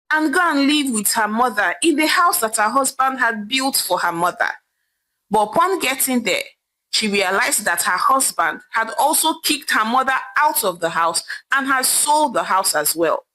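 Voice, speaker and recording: A woman's voice: a little high, pushed and bright, low-quality recording. A woman with a Nigerian accent telling a folktale as a dramatic narration. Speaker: storyteller.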